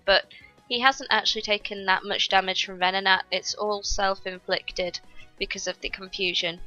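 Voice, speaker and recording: Bright-voiced woman narrating her gameplay. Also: medium pitch, muffled recording. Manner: chatty, explains each step to viewers. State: recovering from a cold. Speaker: gaming YouTuber. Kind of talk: casual gameplay commentary.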